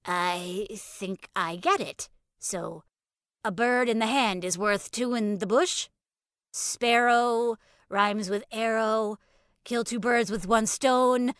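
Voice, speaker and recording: A woman's voice: a little high, takes it slowly, clean tone, no room sound, studio quality. A woman playing a laid-back, spacey giver of muddled mystical advice in audio fiction, nonchalant and rambling. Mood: puzzled, musing.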